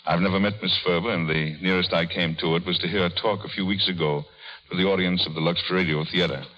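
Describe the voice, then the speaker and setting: Male 1930s radio guest: deep voice, plainspoken, informal. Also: steady tone, fast talker. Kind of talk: 1930s radio curtain speech. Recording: muffled 1930s radio recording.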